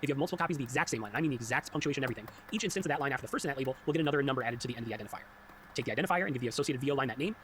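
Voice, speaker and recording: A man with a somewhat high voice narrates a tutorial at a very rapid clip. His delivery is scripted but informal and wry, over faint background noise. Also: fairly bright tone. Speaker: tutorial host and solo game developer.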